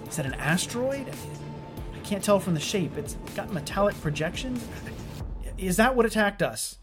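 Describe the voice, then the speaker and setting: A man playing a rattled, sarcastic starship chief engineer who mutters haltingly to himself in an audio drama. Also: sits high, animated, music in the background. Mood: uneasy, searching. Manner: halting, self-talking, wry.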